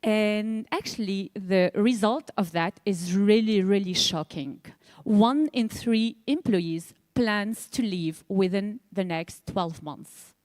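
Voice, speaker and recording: Woman founder from Tunisia, mid-range voice, delivering an earnest, emphatic stage pitch in a dry, close recording. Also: takes it slowly, darkish tone, no room sound. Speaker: startup founder and CEO pitching.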